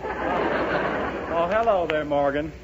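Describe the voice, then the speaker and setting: A man's voice: a little high, expressive, extremely slow, muffled recording, a loud crowd, very noisy. An American man working as a radio comedy announcer in a scripted 1930s style, on a vintage broadcast recording.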